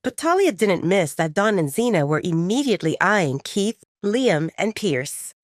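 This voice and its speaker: A woman's voice: mid-range voice, sing-song, measured pace, dry recording, clean studio sound. A woman reading scripted romantic fiction as an audiobook narrator, telling the story expressively in the third person.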